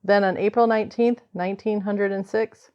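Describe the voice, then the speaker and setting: A woman's voice: medium pitch, steady tone, moderate pace, close to an everyday voice. A woman reading scripted dramatic narration as the narrator of an old time radio show. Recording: dull lo-fi sound.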